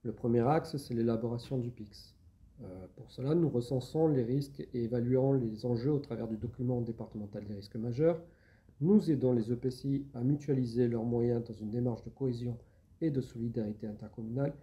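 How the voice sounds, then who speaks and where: A man speaks as an interviewed public service agent. His tone is very dark and relaxed, at an average pitch. He lays out his explanations in orderly steps. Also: brisk. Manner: structured, step-by-step explaining.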